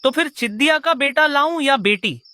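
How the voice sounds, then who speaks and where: A woman's voice: high-pitched, lively intonation, moderate pace, bright, forceful tone, no echo. A woman voicing a commanding, scheming male crow in a children's cartoon. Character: gruff, menacing male crow villain husband.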